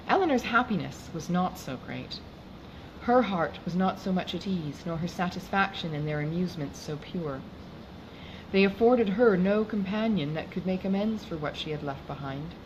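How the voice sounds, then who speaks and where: A woman reading a classic novel aloud in a measured, formal way, as an audiobook narrator. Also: on the low side, dull audio. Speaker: audiobook reader. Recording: clearly noisy background.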